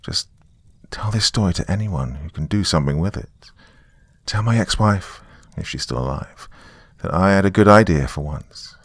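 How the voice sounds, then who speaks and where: A weary, doomed survivor played by a man speaks into a recorder in a horror story. His voice is low and gravelly, captured close and dry, with hushed, halting phrasing and a bitter, wry edge. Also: dry recording, exaggerated voice. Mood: resigned. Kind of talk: dramatic first-person horror monologue.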